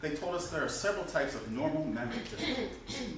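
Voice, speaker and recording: A man reading the stage role of a genial, reassuring doctor friend, expressive and explanatory. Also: a little high, fast talker. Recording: echoey room, distant mic, noisy.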